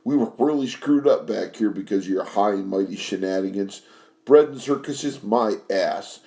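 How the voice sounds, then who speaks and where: A man's voice: fairly low pitch, normal speed, gravelly, mellow. A man voicing a huge, hot-tempered band leader who blusters and threatens. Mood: angry.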